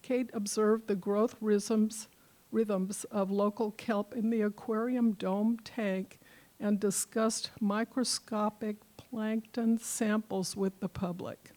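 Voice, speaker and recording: A woman's voice: mid-range voice, steady tone, slow pace, warm tone, rough recording. Woman reading an artist's bio. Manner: reads steadily with small slips and fillers. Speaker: host introducing a speaker. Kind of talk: reading a speaker's bio aloud. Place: community meeting room.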